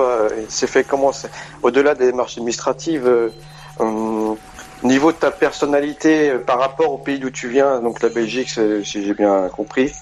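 A man's voice: mid-range voice, slight background noise, rough recording. A man in his forties calling into a radio show, hesitantly searching for his words as he asks a question. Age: 40s. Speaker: radio caller. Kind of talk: call-in radio chat.

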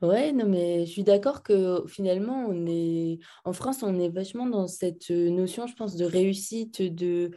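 Mellow-voiced chatty woman host. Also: lowish voice, unhurried, hardly any pauses. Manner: chatty, agreeing backchannels. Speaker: podcast host, interviewer. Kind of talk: casual podcast conversation.

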